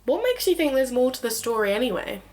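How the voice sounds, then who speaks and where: A woman's voice: high voice, very animated, fast talker. A woman playing a casual, curious young friend in an audio drama, informal and inquisitive. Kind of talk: naturalistic audio drama dialogue. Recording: echoey room with faint noise.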